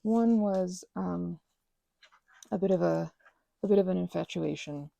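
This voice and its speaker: A woman in her thirties chatting in a soft, rambling way, full of fillers and self-corrections, as an ASMR host. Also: lowish voice, unhurried, creaky, no echo. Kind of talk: rambling personal chat. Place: quiet room at a craft table.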